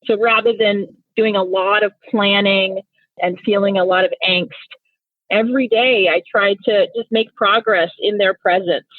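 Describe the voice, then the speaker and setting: A woman's voice: medium pitch, fairly even intonation, moderate pace, dry recording. A woman from Nigeria, Igbo her first language, talking reflectively as a podcast guest and often repeating words as she thinks. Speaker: podcast guest, company founder. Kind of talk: conversational interview. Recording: tinny phone-like remote line.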